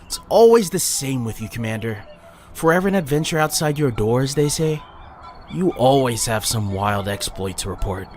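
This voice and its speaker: A man's voice: mid-range voice, animated, moderate pace, a little mellow, voice pushed up, lightly acted. A man voicing a suspicious, sneering castle guard in a fantasy audiobook, with a challenging, sarcastic manner. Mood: scornful.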